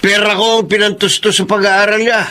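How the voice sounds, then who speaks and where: A man's voice: sits high, flat delivery, fairly quick, sharp tone. An actor playing a bickering middle-aged father, a retired seaman who grumbles, wheedles for cash and snaps back sarcastically. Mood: indignant. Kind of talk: radio drama acting.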